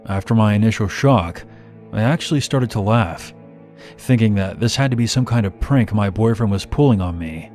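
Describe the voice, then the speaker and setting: A man's voice: on the low side, moderate pace, darkish tone. A man reading a scripted first-person horror story as narration. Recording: music bed behind narration. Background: background music.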